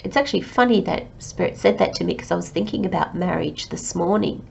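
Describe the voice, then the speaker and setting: A woman giving a tarot reading to her listeners, talking in a slow, reflective and gently encouraging way while she has a cold. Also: on the low side, creaky, slight background noise. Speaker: tarot reader.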